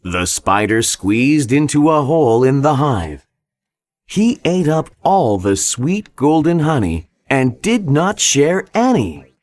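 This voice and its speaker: A man narrating a children's read-along story in a careful, expressive way. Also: mid-range voice, slow pace, no echo.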